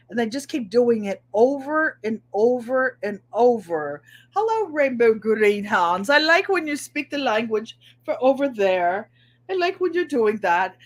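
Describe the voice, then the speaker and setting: A middle-aged woman with a New England accent and a nasal voice, hosting a casual live craft stream and chatting to viewers as she shows her work. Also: a little high. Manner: chatty, unhurried, talks to viewers by name. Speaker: craft livestream host.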